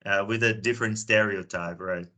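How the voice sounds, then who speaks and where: A man's voice: lowish voice, steady tone, moderate pace, bright, forceful tone. A Brazilian man hosting a video call, chatty and often repeating words as he starts a sentence. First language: Portuguese. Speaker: meeting host. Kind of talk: casual conversation on a video call.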